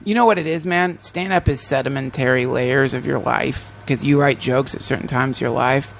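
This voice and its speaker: A man's voice: mid-range voice, moderate pace, vocal fry, a bit bright, a little hiss or hum. A male comedian hosting a podcast in a casual, rambling, self-deprecating way, swearing often and restarting his sentences.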